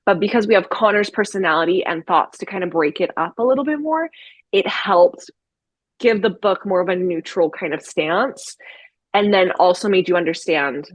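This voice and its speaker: A woman's voice: fairly low pitch, moderate pace, dry recording. A woman chatting casually and enthusiastically as a co-host of a book discussion, peppering her talk with "like". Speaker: book review show co-host.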